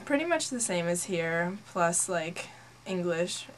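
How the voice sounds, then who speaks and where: A woman's voice: on the low side, very animated, slow pace, slight background noise. A nineteen-year-old woman from Norway, a native Norwegian speaker, answering interview questions in a casual way with lots of "like". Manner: casual, frequent "like" as filler.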